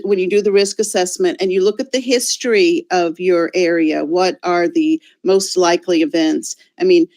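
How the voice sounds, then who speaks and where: A woman from the United States with an American accent, answering a question as a guest speaker at a conference, thoughtful and full of fillers and restarts, heard over a video call. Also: medium pitch.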